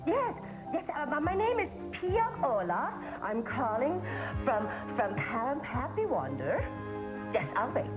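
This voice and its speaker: An actress playing a playful, scheming secretary in a television soap opera, talking in halting, theatrical patter. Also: female voice, lowish voice, animated, over music, lightly acted, poor audio. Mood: flustered, cheery. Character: scheming, playful woman, a secretary improvising phone ruses.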